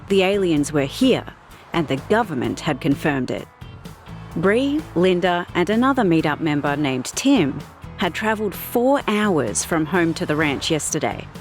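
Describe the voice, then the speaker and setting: A woman narrating a scripted audiobook story in an expressive storytelling manner. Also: fairly low pitch.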